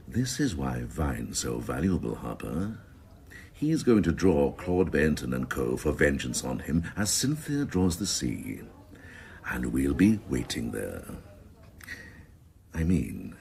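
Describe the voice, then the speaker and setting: A senior detective in a radio crime drama, cynical and grandly pompous. His voice is extremely deep and gravelly, and it swings expressively through each sardonic pronouncement. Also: darkish tone, full character voice. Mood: menacing relish. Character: cynical, ruthless, pompous senior male police officer.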